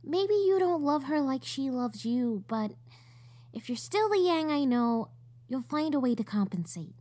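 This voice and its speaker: A woman acting an earnest, playful teenage little sister in an audio drama, with halting, heartfelt delivery. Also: sits high, very animated, mellow, full character voice. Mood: earnest, teasing.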